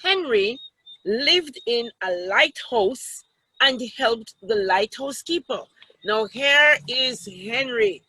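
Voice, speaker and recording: A woman with a Jamaican accent reading a story aloud to children, expressive and teacherly, with asides to the listeners. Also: on the high side, slow pace, husky, no echo, rough recording.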